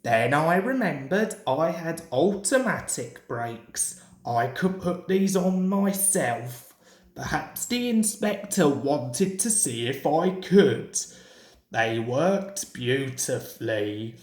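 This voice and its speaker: A woman reads as a modest little mountain engine in a children's storybook. He speaks very slowly and earnestly, in a slightly low voice, in an echoey room. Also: big pitch swings, a little mellow, heavily acted. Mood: reminiscing. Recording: roomy, echoing home recording.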